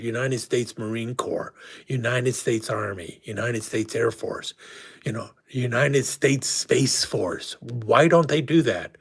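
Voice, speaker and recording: A man's voice: mid-range voice, breathy edge. A middle-aged man hosting a live stream, ranting in a frustrated way with rhetorical questions while losing his voice. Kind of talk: casual ranting monologue.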